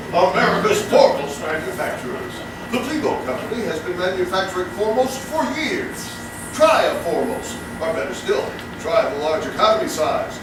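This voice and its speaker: A man doing scripted comedy banter on stage in a showy mock-announcer style. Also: high voice. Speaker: comedic guest performer in a live stage show. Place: live stage in a theater, before an audience. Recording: very echoey hall, distant mic, noisy.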